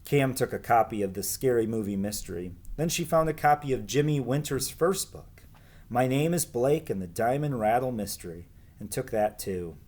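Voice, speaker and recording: A man reading a children's book aloud as a teacher would, in an expressive storytelling style with emphatic dialogue. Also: mid-range voice, moderate pace.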